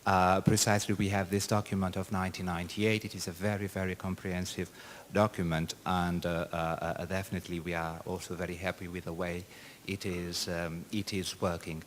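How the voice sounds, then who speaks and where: A man's voice: deep voice, level tone, fairly quick, slight background noise. A man, a delegate asking a question at a conference, hesitant with many fillers and repeats. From Portugal.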